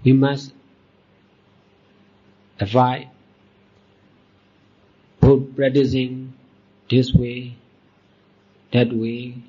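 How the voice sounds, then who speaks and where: A man teaching as a Buddhist monk, leading a guided meditation in deliberate short phrases with long pauses. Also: mid-range voice, flat delivery, dark tone, husky. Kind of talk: guided meditation dhamma talk. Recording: dull, muffled lo-fi recording.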